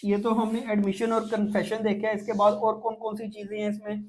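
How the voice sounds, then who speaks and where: A woman's voice: mid-range voice, level tone, a little fast, a little mellow. A woman with a Pakistani accent giving an informal lecture as an exam prep teacher, explaining step by step with frequent repetition and check-ins.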